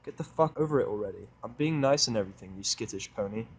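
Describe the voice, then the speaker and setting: A man's voice: lowish voice, lively intonation, fast talker, breathy edge. A man playing a surly, sarcastic human worker, curt and irritable, in an audio drama. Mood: resentful. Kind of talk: fan fiction audio drama acting.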